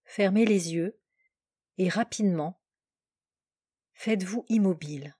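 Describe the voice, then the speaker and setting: A woman leading a guided relaxation meditation as a yoga nidra instructor, giving calm, measured instructions in short, separated phrases. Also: mid-range voice, breathy edge, dry recording, clean studio sound.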